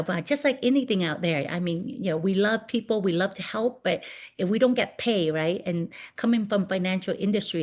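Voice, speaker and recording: A woman's voice: mid-range voice, a little mellow. A woman in her forties giving an earnest motivational business talk over a video call, full of "you know" fillers. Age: 40s. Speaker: network marketing leader.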